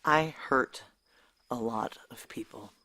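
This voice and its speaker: A woman's voice: a bass voice, slow pace, pushed and bright, no room sound, full character voice. An actress playing a guilt-worn, blunt female operative on the run in a noir audio drama, wry and terse. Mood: desperate, guilty. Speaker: narrator and lead character. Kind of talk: noir audio drama acting, first-person narration.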